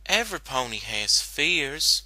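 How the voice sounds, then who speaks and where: A man's voice: mid-range voice, very animated, unhurried, pushed and bright, dry recording, full character voice, poor audio. A man voicing a caring, plain-spoken female cartoon farm pony in a folksy manner for a fan-fiction reading. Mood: gentle, soothing.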